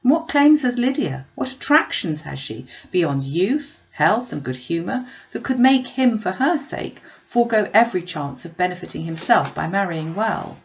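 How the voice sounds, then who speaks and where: Earnest, animated young gentlewoman read by a woman. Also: mid-range voice, moderate pace, few pauses, lo-fi sound. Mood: doubtful, insistent. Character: earnest young gentlewoman, candid and sharp-minded.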